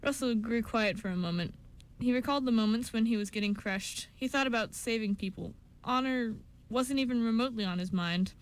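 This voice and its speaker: A woman with a slightly high voice narrates a scripted radio story. She reads in an amateur way, occasionally stumbling on a word. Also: a little fast, hardly any pauses. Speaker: narrator and voice actor on a radio show.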